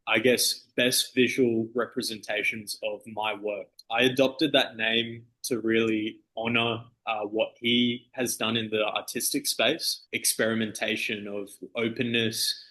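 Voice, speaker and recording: A man's voice: lowish voice, flat delivery, a little slow, few pauses, sharp tone, poor audio. A man, a DJ, chatting casually in an interview with loose, easygoing phrasing and plenty of fillers. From Australia. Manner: easygoing, loose, full of fillers like "you know" and "like". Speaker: DJ and guest.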